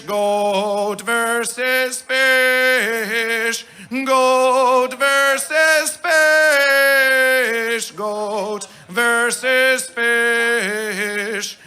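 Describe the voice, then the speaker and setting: A man emceeing a live festival stage, theatrical, with mangled grammar and chanted, shouted callouts. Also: extremely high pitch, fairly even intonation, extremely slow, faint music behind. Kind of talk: live stage hype announcing.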